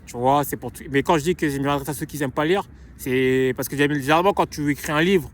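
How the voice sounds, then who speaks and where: A man's voice: a little high, fast. A young man talking casually in a vlog, slangy and rambling, restarting and repeating phrases. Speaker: YouTuber promoting his book.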